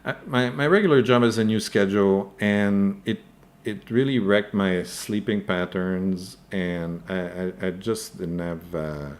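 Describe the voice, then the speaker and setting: A man with a French accent hosts a live stream and answers viewer questions as they come in. His voice sits fairly low, and he speaks slowly and unhurriedly. He hesitates often and keeps checking in with "okay?". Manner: hesitant, frequent "uh" and tag "okay?". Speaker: crypto news host.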